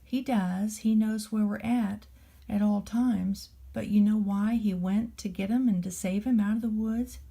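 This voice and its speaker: A woman narrating a children's storybook in a gentle, deliberate storytelling manner, as a nanny would to a small child. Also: mid-range voice, steady tone, vocal fry, husky.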